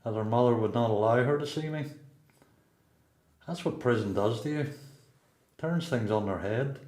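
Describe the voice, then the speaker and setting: A man's voice: mid-range voice, normal speed, darkish tone, natural voice. Reflective Northern Irish prisoner played by a man, echoey room. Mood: reflective, regretful. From Belfast, Northern Ireland. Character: reflective seasoned male prisoner, regretful absent father. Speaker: amateur performer in a radio play. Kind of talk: radio play dialogue and monologue.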